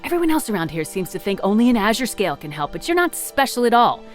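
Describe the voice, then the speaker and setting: A woman's voice: medium pitch, big pitch swings, quick pace, soft background music, close to an everyday voice. A woman playing a blunt, plain-spoken peasant fisherwoman grieving her brother in a fantasy audio drama. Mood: defiant.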